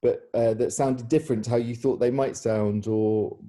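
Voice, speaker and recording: A man's voice: mid-range voice, fairly even intonation, moderate pace, dark tone. A man hosting an informal question-and-answer chat over a video call, phrasing his questions hesitantly. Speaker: theatre director hosting a Q&A. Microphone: video call microphone.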